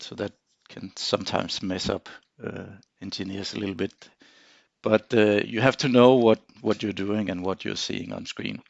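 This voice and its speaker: A man talks through a technical demonstration on screen in an informal, hesitant way, stopping often to find the next phrase. His voice has a noticeable creak. The sound is very dry and a little dull, like a close voice-over in a small room. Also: on the low side, no echo. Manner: halting, hesitant explaining with frequent pauses. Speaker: engineer presenting a software tutorial.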